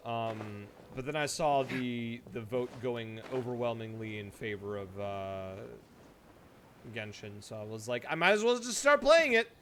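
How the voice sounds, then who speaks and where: A man's voice: medium pitch, animated, measured pace, a bit bright, a little hiss or hum. A man doing casual live game-stream commentary, joking and sweary as he reacts. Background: game music.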